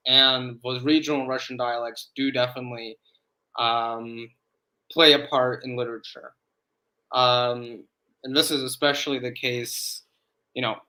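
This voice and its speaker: Bright-voiced man explaining at measured pace. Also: mid-range voice, low-quality recording. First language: English. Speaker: guest, academic in Slavic studies. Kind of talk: casual interview chat.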